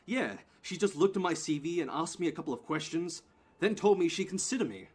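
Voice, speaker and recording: A man's voice: fairly high pitch, animated, a little fast, a little mellow, slightly rough, pitched above the performer's own voice. A man playing an anxious, unsure young security guard in an audio play, hesitant and defensive. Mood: disappointed.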